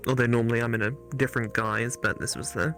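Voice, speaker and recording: A man's voice: medium pitch, steady tone, fast, fairly bright tone, soft background music. A man guesting on a gaming stream, chatting casually with crude, mock-serious humour. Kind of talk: casual joking chat.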